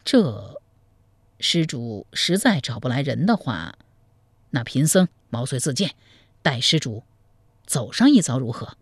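A man storyteller voicing a sly, scheming male Buddhist monk who counsels in a slow, hushed manner. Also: mid-range voice, big pitch swings, breathy edge, no room sound, voice pushed down. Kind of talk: storytelling, acted dialogue.

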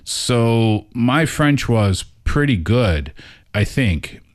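A man's voice: medium pitch, expressive, very slow. A middle-aged man from Canada chatting casually in a radio studio, rambling and self-deprecating. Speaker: regular guest co-host.

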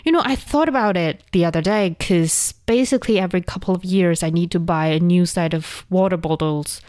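Woman from China, native Chinese speaker, lesson host, low voice, patient explaining, dry close studio sound. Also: a little fast, darkish tone, dry recording. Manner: explains words patiently, laughs easily. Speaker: host and language teacher. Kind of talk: casual teaching chat.

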